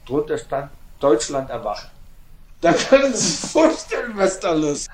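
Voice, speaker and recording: A man playing a boastful old-regime policeman who relishes his brutal anecdotes. His pitch leaps about as he acts out exchanges in colloquial speech, with clear noise and ambience around him. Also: big pitch swings, unhurried. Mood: amused. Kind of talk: animated spoken reminiscence. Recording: noticeable background noise and ambience.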